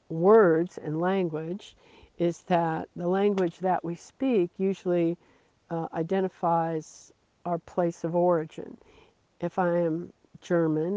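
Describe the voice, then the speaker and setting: A preacher giving a slow, earnest devotional sermon, repeating phrases for emphasis. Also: mid-range voice, warm tone. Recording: dull lo-fi recording.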